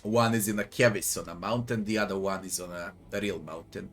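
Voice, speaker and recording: A man's voice: deep voice, fairly even intonation, brisk, fairly bright tone. A man from Serbia with a Serbian accent gives casual game commentary, grumbling, swearing and muttering to himself. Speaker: gamer doing a playthrough. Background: faint game music.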